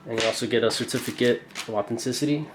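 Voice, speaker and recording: A young man with a stuffy, congested voice casually rambling through an unboxing, full of fillers and slangy excitement. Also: mid-range voice, very fast. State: congested from a cold. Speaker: knife collector reviewing a purchase. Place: indoor room, camera over a tabletop. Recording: roomy echo, faint background hiss.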